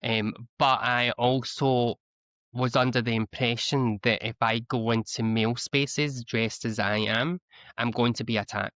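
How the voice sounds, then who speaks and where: A man's voice: medium pitch, fairly even intonation, a little slow, a bit bright, no echo. A Scottish man speaking as an interview guest, talking earnestly with frequent restarts and repeated words. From Scotland. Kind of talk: candid conversational interview. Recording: slightly dull, lo-fi audio.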